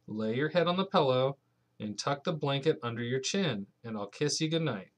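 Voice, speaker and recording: A man acts out an elderly storybook granny who dotes on a child and bosses him about. The character is excitable and easily shocked, prone to loud exclamations. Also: mid-range voice, normal speed, lightly acted. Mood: doting, instructing. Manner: bossy, doting, exclaiming loudly. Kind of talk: bedtime picture-book character voice.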